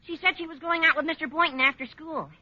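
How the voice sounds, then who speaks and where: A man's voice: very high-pitched, fairly even intonation, fast talker, no echo. An actor playing an eager, cheeky teenage boy, gossipy and overeager, in a 1940s radio comedy. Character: eager, cheeky teenage schoolboy with squawky high voice. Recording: muffled old radio recording.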